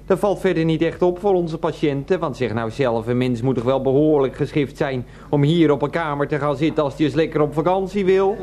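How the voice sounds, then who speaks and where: Exasperated, evasive husband, played by a man, fairly high voice, protesting, radio play. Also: a little mellow, close to an everyday voice. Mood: chatty, cheerful. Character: Freek, exasperated evasive husband, petty and defensive. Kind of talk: Dutch radio play acting.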